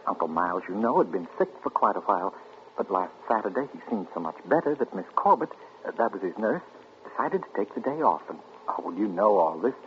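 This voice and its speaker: A man's voice: on the low side, very animated, quick pace, slight background noise. An actor playing an anxious young man who speaks in hesitant, earnest bursts, in 1940s radio acting style. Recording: muffled 1940s radio broadcast.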